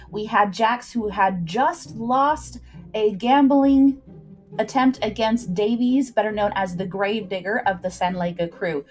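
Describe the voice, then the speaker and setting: A woman hosting a livestream, chatting informally with viewers and recapping a story, with asides to the chat. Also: medium pitch. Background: background music.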